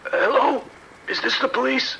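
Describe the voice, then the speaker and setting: A man performs a terrified civilian caller in a horror audio drama. His high, creaky voice is tense and halting, stammering anxiously over music and rain. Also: sharp tone, slight background noise, full character voice, rough recording. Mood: shaken, guilty. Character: frightened, shaken male civilian emergency caller.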